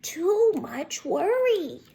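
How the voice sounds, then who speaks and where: A woman voicing an old grandmother mouse in a storybook, grumbling and dismissive in a repetitive, drawn-out chant. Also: very high-pitched, sing-song, creaky, dark tone, pitched above the performer's own voice, heavily acted. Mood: chiding.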